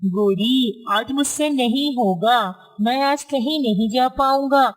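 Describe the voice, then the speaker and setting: Woman doing a lazy crow dad cartoon thief, kinda high voice. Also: takes it slowly. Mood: sleepy, lazy.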